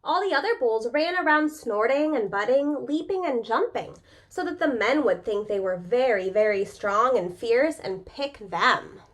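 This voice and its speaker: A woman reading a picture book aloud to young children as a preschool teacher, expressive and speaking to them directly with questions. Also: a little high, a little slow, hardly any pauses.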